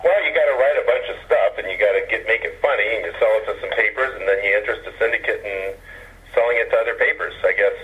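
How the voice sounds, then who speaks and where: Husky man on a tinny phone line. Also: lowish voice, fast talker. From Hawaii. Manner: deadpan, self-mocking humor. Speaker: guest, columnist. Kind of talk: phone-in radio interview. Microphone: telephone.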